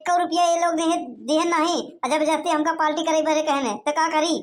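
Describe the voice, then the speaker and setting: Cheeky young cartoon lad played by a woman, very high-pitched, sly, whiny, Awadhi accent. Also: flat delivery, a little fast, lightly acted. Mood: complaining. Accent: Awadhi, eastern Uttar Pradesh.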